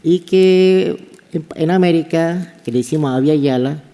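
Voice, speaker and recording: Man, Kuna accent, mellow higher voice, halting conference talk, long hall reverb. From Panama. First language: Kuna (Guna). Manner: halting, repeats words while speaking. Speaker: anthropology professor presenting. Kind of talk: conference presentation.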